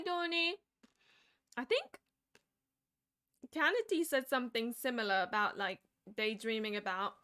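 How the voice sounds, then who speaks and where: Late-twenties female streamer, high bright voice, chatty and animated. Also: clear, resonant tone. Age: 20s. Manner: chatty, laughs often, animated. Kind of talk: casual chat.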